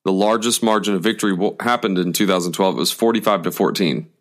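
A man's voice: deep voice, fast talker. A man from Atlanta co-hosting a casual sports talk show, reading out old scores and stats in a plain way. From Atlanta, Georgia. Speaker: co-host.

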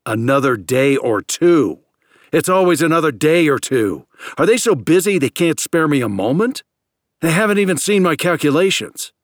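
A man's voice: a little high, lively intonation, normal speed, no room sound, voice pushed up, natural voice. A man acts out an agitated scientist from Earth in a science fiction audiobook. He is insistent, impatient and accusing, close to hysteria. His voice rises above a narrator's level and sounds close and dry. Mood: exasperated. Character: agitated, suspicious male space scientist from Earth, defiant, near hysterical.

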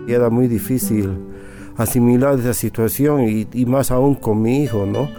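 A middle-aged man with a Bolivian accent giving personal testimony as a guest, haltingly, often adding little tag questions. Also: mid-range voice, fairly even intonation, over music. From Sucre, Bolivia. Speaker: interview guest.

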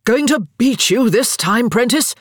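A woman's voice: on the high side, animated, a little slow, dry recording, studio quality, voice pushed up. A woman voicing a tipsy, boastful, jovial young English lord with slurred, insistent delivery for an audiobook. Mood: boastful, excited. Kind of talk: audiobook character acting.

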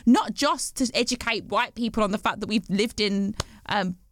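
A woman from the West Midlands in a casual discussion, animated and playful, quick to tease and laugh. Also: medium pitch, no room sound. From West Midlands, England.

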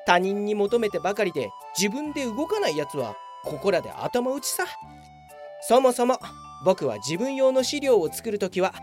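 Woman acting a lively, whiny male office worker. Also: medium pitch, moderate pace, faint music behind, lightly acted. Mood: defiant, smug. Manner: grumbling, self-justifying. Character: male office worker, whiny, self-justifying, grumbling dreamer. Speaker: voice actor. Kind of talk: radio comedy drama acting with inner monologue.

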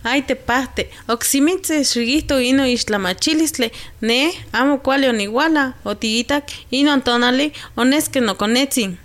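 A woman's voice: sits high, measured pace, few pauses. A woman playing a chatty young village mother in a radio drama, conversational and exclamatory. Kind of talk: radio drama dialogue in Nahuatl.

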